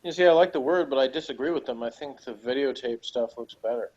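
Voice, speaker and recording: A man talks fast in an informal review conversation. His pitch sits mid-range and his manner is dry and teasing. The sound is somewhat dull and lo-fi, as from a remote call. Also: a little mellow. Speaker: podcast reviewer. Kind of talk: casual review chat. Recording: dull lo-fi voice recording.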